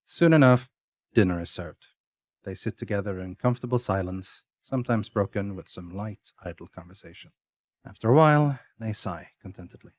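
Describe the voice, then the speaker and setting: A man's voice: lowish voice, lively intonation, moderate pace, a little mellow, no room sound. A man narrating a scripted audio drama as its storyteller. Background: music.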